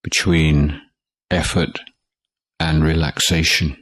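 A man teaching guided meditation in a calm, measured way, leaving long pauses between short phrases. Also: extremely low pitch, no room sound. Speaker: Buddhist monk meditation teacher.

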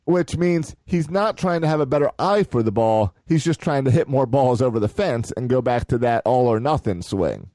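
A man co-hosting a podcast, casually steering the sports talk with calm agreement. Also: medium pitch, moderate pace, few pauses, no room sound. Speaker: podcast co-host.

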